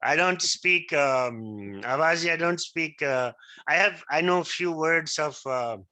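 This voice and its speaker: A man's voice: fairly high pitch, takes it slowly, bright, forceful tone. A male teacher whose first language is Maithili, giving an interactive class lecture and often checking that his students understand. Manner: keeps checking understanding, asks if he is right.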